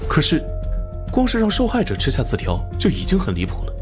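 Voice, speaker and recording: An actor playing an earnest, loyal and polite young male police officer in an animated crime drama. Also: fairly high pitch, fairly quick. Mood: puzzled. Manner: earnest, questioning. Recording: music under the voice.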